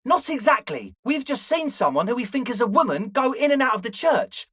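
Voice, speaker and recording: A man's voice: extremely high pitch, very animated, fairly quick, fairly bright tone, slightly rough, no room sound, exaggerated voice. A man voicing an eager, flaky young male slacker ringleader in a video game, talking in an earnest, ingratiating, hedging way. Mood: earnest, evasive. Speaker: voice actor. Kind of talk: video game character dialogue.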